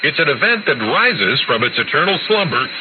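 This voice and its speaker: A man's voice: on the high side, sing-song, normal speed. A man reading a playful scripted feature for amateur radio news, heard over shortwave radio. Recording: noisy narrow-band shortwave radio reception. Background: shortwave static.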